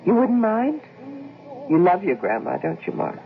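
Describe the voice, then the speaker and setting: Animated, insistent housewife. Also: female voice, fairly low pitch, normal speed, vocal fry. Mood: careful. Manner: insistent, fretful, homely. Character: anxious, insistent housewife, a devoted mother and daughter. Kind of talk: radio drama acting. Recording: tinny, noisy old radio recording.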